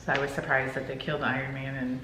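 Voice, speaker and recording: A woman in a casual, informal film chat, quick to agree and react. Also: rumbling low, level tone, fairly bright tone. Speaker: co-host discussing a movie. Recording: roomy echo, faint background noise.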